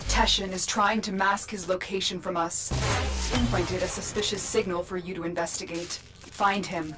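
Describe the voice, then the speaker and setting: A woman's voice: lowish voice, steady tone, normal speed, close to an everyday voice. A woman playing a composed, cautious mission guide in a video game, briefing in a serious tone. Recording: voice under loud game music.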